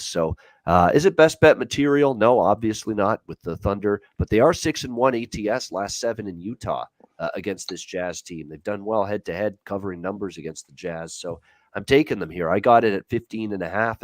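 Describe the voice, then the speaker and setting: A man's voice: fairly low pitch, brisk, no room sound. A man hosting a sports betting show, giving his opinions in casual, conversational commentary.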